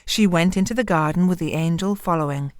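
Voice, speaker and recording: A woman storyteller giving an expressive narration of a fairy tale. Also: fairly low pitch, fairly quick, dry recording, studio quality.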